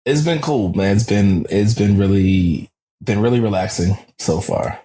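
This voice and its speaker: Deep, husky, laid-back man. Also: a little slow, mellow. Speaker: podcast guest. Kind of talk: casual chat.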